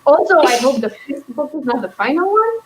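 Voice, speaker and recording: A woman in a casual book discussion, thinking aloud in a hesitant, halting way as she hosts. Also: high voice, very animated, heavy background noise. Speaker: book club host.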